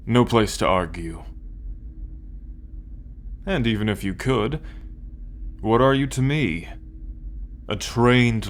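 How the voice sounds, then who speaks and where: A man playing an arrogant, possessive alien soldier in an ASMR roleplay, condescending and menacing, speaking with deliberate pauses. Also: lowish voice, slight background noise. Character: arrogant possessive male alien soldier, cold, darkly amused captor.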